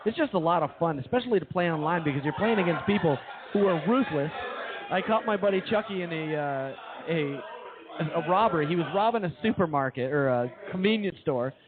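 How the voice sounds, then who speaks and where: A man's voice: on the high side, animated, brisk. A man co-hosting a comedy radio show in casual banter, talking in boastful hyperbole and repeating his phrases. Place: live show at a brewing venue. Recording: phone-like thin audio with crowd chatter. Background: crowd chatter.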